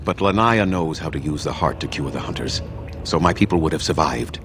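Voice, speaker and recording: A deep, haughty elven leader. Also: male voice. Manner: measured, haughty, dryly sarcastic. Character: proud, sardonic elven clan leader, cold villain. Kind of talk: fantasy video game acting. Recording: noisy game audio.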